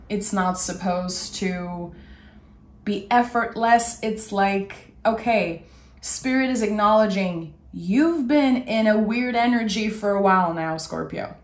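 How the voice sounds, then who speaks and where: A woman with a raspy voice giving a gentle, soothing tarot reading. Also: mid-range voice, reverberant. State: raspy voice today. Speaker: tarot reader.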